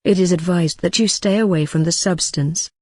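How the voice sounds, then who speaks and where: Computer-voiced emergency alert, formal, flat delivery, mid-pitched, dry sound. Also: normal speed, no echo. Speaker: emergency broadcast announcer. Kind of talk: scripted emergency alert announcement.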